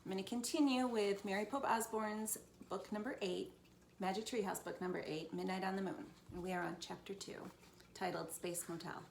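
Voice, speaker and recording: A woman's voice: mid-range voice, fairly even intonation, normal speed, creaky. A woman reading a children's storybook aloud as a teacher would, expressively, for young listeners. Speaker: teacher reading to first graders. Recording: echoey room, background noise.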